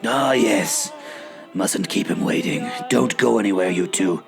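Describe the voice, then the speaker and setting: Man playing a wry, menacing villain boss, gravelly. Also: lowish voice, a little slow, over music. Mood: smug, threatening. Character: smug, impatient male villain boss interrogating captives. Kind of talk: comic adventure radio play acting.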